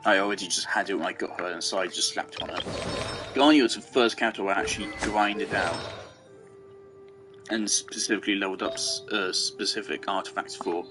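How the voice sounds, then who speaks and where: A man with a lowish voice gives casual commentary on a game. He rambles and thinks out loud, circling back on his own points. Faint music plays behind him. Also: brisk. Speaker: game streamer.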